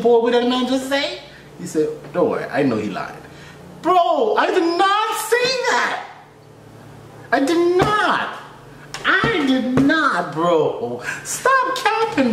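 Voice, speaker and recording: Young male reactor, animated and exasperated, slangy storytelling with lots of repetition, recorded in an echoey room. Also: very high voice. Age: young adult. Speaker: reaction video host, air traffic controller. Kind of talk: casual reaction commentary with storytelling. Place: small furnished room indoors. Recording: roomy echo, faint background noise.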